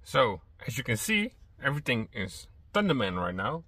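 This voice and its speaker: A man with a Dutch accent, a native Dutch speaker, talking casually and a bit haltingly as he shows and explains things in a truck vlog. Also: mid-range voice, sing-song, sharp tone, husky, no echo. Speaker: truck driver and vlogger. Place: inside a truck cab.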